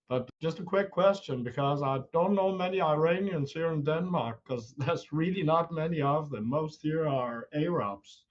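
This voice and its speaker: A man in his forties, born in Iran and not an English speaker, calling in to a live discussion over a new webcam, talking haltingly with restarts. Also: a little high, slight background noise. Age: 40s. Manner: hesitant, restarting, self-deprecating. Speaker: caller. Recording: lo-fi webcam audio.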